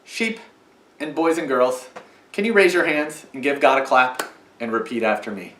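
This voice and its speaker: Lively-voiced man teaching children in church. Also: mid-range voice, normal speed, roomy sound, recorded from a distance. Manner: patient, explaining, sing-song to children. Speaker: children's message leader. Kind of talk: children's sermon with puppet. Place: church sanctuary.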